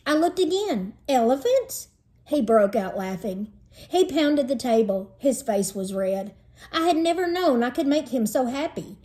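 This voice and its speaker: A woman narrating a children's storybook in an expressive, dramatizing read-aloud style. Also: on the high side, moderate pace.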